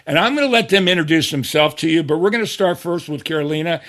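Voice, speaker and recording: A man's voice: a little high, lively intonation, fast, fairly bright tone. A middle-aged man hosting a podcast, talking in an informal, chatty way as he interviews guests. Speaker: podcast host. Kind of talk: casual conversational interviewing.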